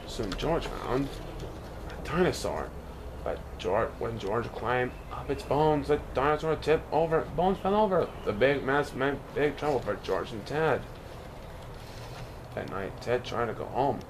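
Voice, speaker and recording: A man reads a children's storybook aloud with a lively, swinging intonation. His pitch is medium and he stumbles on the odd word. The recording has clear background noise. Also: unhurried. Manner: animated storytelling, occasional stumbles over words. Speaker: storybook reader.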